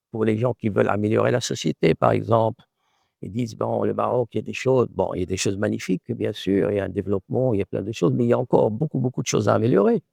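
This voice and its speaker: A middle-aged Moroccan-born man whose first language is Arabic speaks in a deeply gravelly voice. He answers an interviewer thoughtfully, circling and repeating words as he works out his point. The recording is dry, with no room sound. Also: darkish tone, dry recording. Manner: thoughtful, repeats words while searching for phrasing. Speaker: author and professor.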